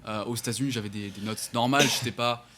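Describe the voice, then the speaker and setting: Quick-talking young man, lots of fillers. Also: mid-range voice, steady tone, pushed and bright, slight background noise. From United States, then France. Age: 20s. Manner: hesitant, many fillers and restarts, self-corrects. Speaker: entrepreneur guest. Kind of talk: casual interview answers.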